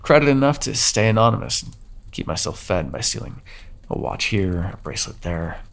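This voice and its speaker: A brooding ancient vampire, voiced by a man, speaks to his captive in a low, gravelly voice. His delivery is soft-spoken and measured, with the hushed intimacy of an ASMR roleplay. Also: big pitch swings, exaggerated voice. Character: brooding ancient male vampire captor, solemn. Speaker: voice actor. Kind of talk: ASMR audio roleplay monologue.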